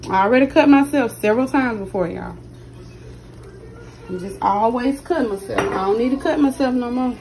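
A woman with a hoarse, partly lost voice, chatting casually to her viewers as she cooks in her home kitchen, recording on a handheld phone. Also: fairly high pitch, normal speed, noisy background. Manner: chatty, keeps addressing viewers directly. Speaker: cooking channel host. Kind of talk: casual cooking vlog narration. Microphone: phone held in hand while cooking.

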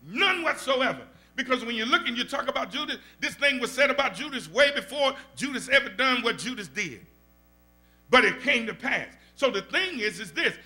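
An older man with a Southern US accent, a pastor teaching Bible study in a church sanctuary, preaching into a stand mic with call-and-response and rising shouts. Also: very high-pitched. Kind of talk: animated preaching. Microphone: stand mic at the pulpit.

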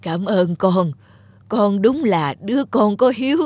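An actress playing a weary, sick old peasant mother in an animated folk tale, plaintive and slow. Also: mid-range voice, no echo, studio quality. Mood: relieved. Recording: music behind the dialogue.

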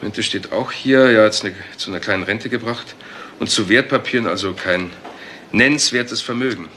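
Matter-of-fact police investigator, sharp-voiced. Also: male voice, fairly low pitch, normal speed, a little hiss or hum. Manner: dry, factual reporting. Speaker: actor in a crime radio play. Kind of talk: German crime radio drama acting.

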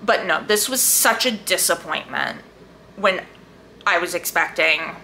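A woman book vlogger chatting casually and enthusiastically to camera, leaning hard on emphatic, drawn-out words. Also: lowish voice, animated, vocal fry, bright, forceful tone, a little hiss or hum. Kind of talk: casual chat to camera about books.

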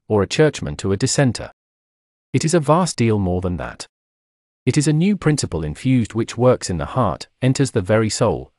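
A man's voice: medium pitch, a little mellow, no echo, studio quality. A man reading an old sermon aloud in an emphatic, declamatory preaching manner. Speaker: narrator reading a historical sermon. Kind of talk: scripted sermon reading, oratorical.

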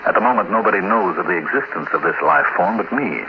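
A man's voice: low voice, expressive. Muffled old-radio alien posing as a man. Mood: calm menace. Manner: measured, deliberate, sinister storytelling. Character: alien mimic posing as a spaceman, smug, calmly menacing. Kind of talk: 1950s radio play acting. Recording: noisy, muffled 1950s radio recording.

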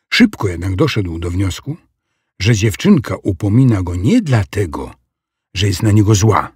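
Husky, slow male storyteller. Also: fairly low pitch, darkish tone, no echo, clean studio sound. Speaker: narrator. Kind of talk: expressive scripted storytelling for children.

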